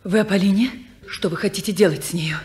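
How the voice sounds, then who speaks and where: A woman's voice: medium pitch, fast, a little mellow, close to an everyday voice. An actress playing an earnest, searching, headstrong young woman in a 1950s radio play, questioning and insistent. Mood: insistent, anxious.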